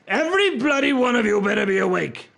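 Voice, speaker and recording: A male actor as a gruff officer in a radio drama. His gravelly voice swings widely in pitch and starts high. He sounds menacing. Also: sing-song, fairly quick, a bit bright, full character voice. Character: gruff, menacing military officer hunting rebels. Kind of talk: radio play acting.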